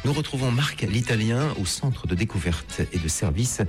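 A man hosting a travel radio program, narrating the route and interviewing a guest in a lively, playful way. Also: fairly low pitch, normal speed, music in the background. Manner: lively, playful, guiding the conversation. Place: outdoors in a national park.

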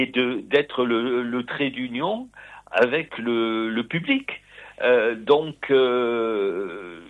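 A man in his sixties answering interview questions slowly, with many fillers and repeated words. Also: medium pitch. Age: 60s. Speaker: literary event moderator, author. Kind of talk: interview guest. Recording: phone-like narrow sound.

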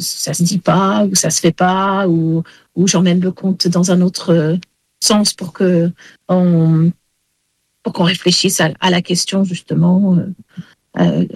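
A woman raised in Wales whose first language is English, a storyteller speaking haltingly with many pauses in an interview. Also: on the low side, darkish tone, no echo. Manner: hesitant, many fillers. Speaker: storyteller and singer.

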